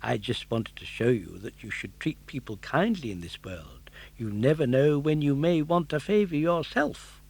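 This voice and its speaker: A man's voice: mid-range voice, expressive, normal speed, creaky, hardly any pauses, breathy edge. Man as a small, chiding talking cricket. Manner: pointed, admonishing.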